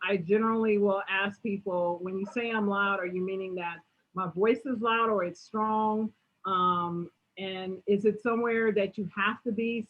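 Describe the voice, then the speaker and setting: A woman answering audience questions in a motivational talk, emphatic and animated, often tagging her points with "right?". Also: medium pitch, narrow, tinny audio. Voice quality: strong voice.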